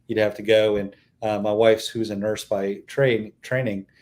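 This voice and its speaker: Low-voiced, earnest middle-aged man. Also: normal speed. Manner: earnest, steady storyteller with frequent fillers. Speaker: restaurant owner, interview guest. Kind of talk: casual interview chat.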